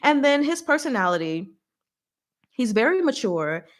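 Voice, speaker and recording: A woman hosting a casual live recap, talking in an expressive, playful, giggly way with emphatic asides. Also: fairly high pitch, moderate pace. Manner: giggly, expressive, laughs often while talking. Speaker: host of a live recap and discussion. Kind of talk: casual livestream chat recapping a story.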